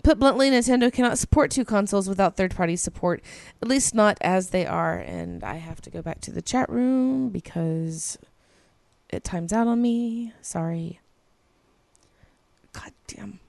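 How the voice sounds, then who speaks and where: A woman's voice: medium pitch, very animated, unhurried, vocal fry, darkish tone, no room sound. A woman co-hosting a podcast, reading listener emails aloud in a casual, gushing way that is peppered with swearing and ums. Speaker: podcast co-host.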